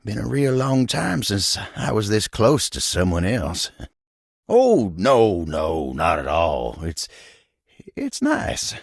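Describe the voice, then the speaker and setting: Game role: earnest, kindly country feller, gravel-voiced, unhurried American Southern delivery, recorded very dry. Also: male voice, on the low side, huge pitch swings, dry recording, exaggerated voice. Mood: shy, grateful. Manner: folksy drawl, humble and earnest. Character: gentle, folksy living table, cowboy-like helper. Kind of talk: video game character voice acting.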